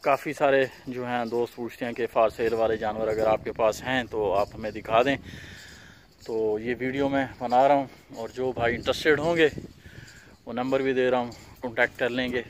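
A man's voice: medium pitch, steady tone, moderate pace, a little hiss or hum. A man who owns a cattle farm, casually showing animals for sale outdoors in a dusty farmyard, pointing out each one's features plainly and repetitively. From Pakistan. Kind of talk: casual walkthrough vlog.

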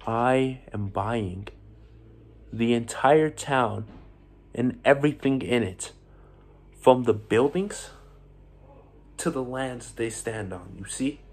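A man acting a theatrical monologue as a bitter, menacing self-made Italian businessman out for revenge, speaking deliberately with pointed pauses in a husky voice. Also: medium pitch, darkish tone. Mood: defiant.

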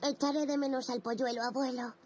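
A performer voicing a curious, caring five-year-old zebra foal in a children's cartoon dub, eager and expressive, with a Castilian Spanish accent. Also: sits high, fairly quick, close to an everyday voice. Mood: tender. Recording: music behind the voice.

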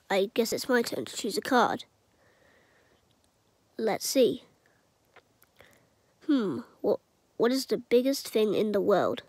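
A man's voice: high voice, no echo, exaggerated voice. Puppet creature for a children's parody, male actor: understated, mild, hesitant, faintly baffled delivery. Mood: puzzled.

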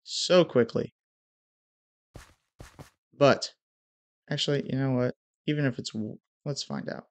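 A man's voice: medium pitch, slow pace, pauses often, no room sound. Young man, stuffy nose, headset mic, small home room, gameplay commentary. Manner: hyped intro, then muttered play-by-play. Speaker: gaming YouTuber.

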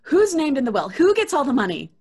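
An actress playing an indignant, hot-tempered young twin sister in an audio horror drama, demanding and exasperated. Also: female voice, sits high, wildly swooping pitch, fast, darkish tone, full character voice. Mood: angry, exasperated. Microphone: video call.

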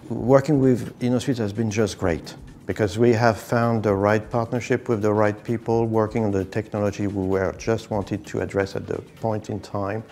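Middle-aged male executive, dark relaxed timbre, interview delivery for a company film. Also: lowish voice, normal speed. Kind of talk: corporate video interview.

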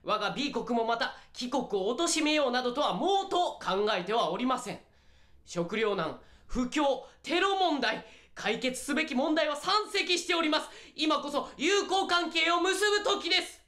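A woman's voice: high-pitched, animated, measured pace, fairly bright tone. A woman playing an earnest, fervent envoy in a radio drama, speaking formally and theatrically. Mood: earnest pleading. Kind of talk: radio drama acting, formal diplomatic speech.

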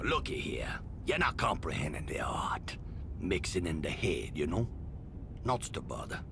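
An actor playing a sinister, gleeful voodoo trickster in a game cutscene, muttering and cackling in a theatrical drawl with folksy grammar. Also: male voice, low voice, creaky, noisy background, heavily acted. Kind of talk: video game cutscene acting.

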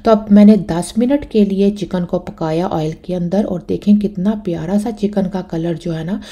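Warm, mellow-voiced woman cooking host. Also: fairly low pitch, moderate pace, slightly rough. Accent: Pakistani Urdu. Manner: chatty, step-by-step explaining with frequent "na" tags. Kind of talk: voice-over recipe instructions.

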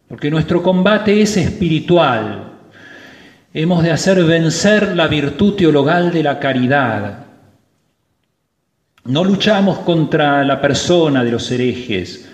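Slow-preaching priest in an echoing church. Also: male voice, fairly high pitch. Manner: slow, emphatic preaching with rising stresses. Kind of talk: sermon at Mass. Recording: roomy church with long reverb.

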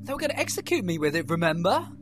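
Man doing cocky rebel, wry, lively delivery, fan audio drama. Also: a little high, racing, soft background music. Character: wry, cocky male human rebel fighter.

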